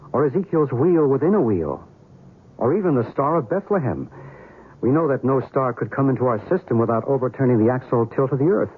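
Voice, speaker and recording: A man's voice: mid-range voice, phone-quality sound. An actor playing an earnest, philosophical male believer in otherworldly visitors, persuasive in old-time radio style. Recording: noisy, thin old radio recording.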